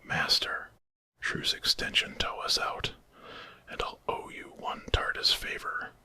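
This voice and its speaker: A man's voice: slow pace, sharp tone. A man voicing a frantic, commanding male time traveller in a whispered ASMR story, urgent and bossy. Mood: stern, bargaining.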